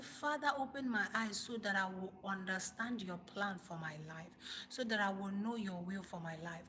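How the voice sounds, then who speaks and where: Bright-voiced Nigerian woman, emphatic motivational livestreamer. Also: medium pitch, a little hiss or hum. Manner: emphatic, repeats phrases for stress, rhetorical questions. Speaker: livestream host, motivational speaker. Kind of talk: informal motivational talk to live viewers.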